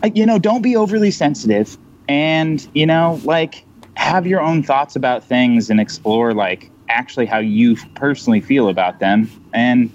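A man's voice: mid-range voice, lively intonation, moderate pace, a little mellow. A man chatting casually and earnestly on a podcast, in a slightly dull recording. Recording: slightly muffled, faint background noise.

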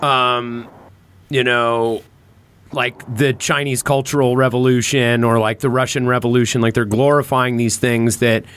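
A man's voice: medium pitch, little pitch movement, a little slow, fairly bright tone, clear, resonant tone. A man in casual political discussion on a podcast, repeating and rephrasing as he builds his point.

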